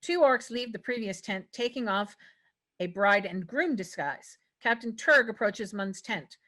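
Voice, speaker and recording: A woman's voice: mid-range voice, animated, a little slow, sharp tone. A woman reading stage directions as the narrator at a script table read.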